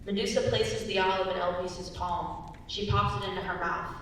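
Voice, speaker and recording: A woman's voice: mid-range voice, fairly even intonation, normal speed, a bit bright. A young adult woman reading scripted stage directions as narrator at a live staged reading. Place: large indoor room at a live reading. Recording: echoey hall from far off, faint noise.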